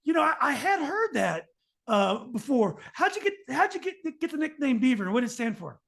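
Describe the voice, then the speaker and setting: A man's voice: very high voice, very animated, brisk, vocal fry, a bit bright. A man hosting a podcast interview, asking long, rambling questions full of fillers and restarts. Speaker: podcast host.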